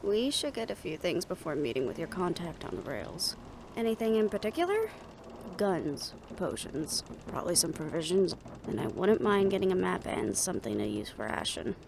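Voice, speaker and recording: A woman playing a composed, protective fantasy adventurer in an audio roleplay, easygoing, teasing and soft-spoken, with a creaky voice. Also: lowish voice, big pitch swings, moderate pace, noisy background. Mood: calm.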